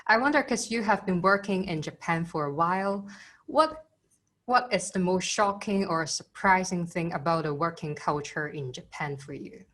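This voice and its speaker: A woman's voice: lowish voice, moderate pace, fairly bright tone. A woman hosting a virtual orientation, politely thanking the speaker and asking questions over a video call. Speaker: orientation host.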